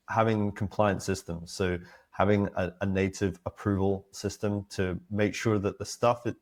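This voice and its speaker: A man from Australia, a webinar guest, sounding croaky in the early morning, friendly and quick to laugh, speaking over a video call. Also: on the low side, darkish tone. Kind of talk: casual webinar chat.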